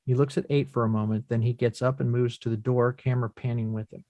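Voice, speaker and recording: A man's voice: medium pitch, fairly even intonation, quick pace, mellow, no echo, highs cut off. Man narrating stage directions, dry sound. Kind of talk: scripted narration.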